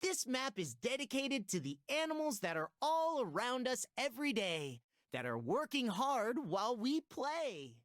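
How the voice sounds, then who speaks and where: A woman's voice: fairly high pitch, sing-song, measured pace, hardly any pauses, dry recording, studio quality. A woman voicing an upbeat guide in a preschool game, narrating in instructive sing-song rhyme. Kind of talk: scripted rhyming children's game narration.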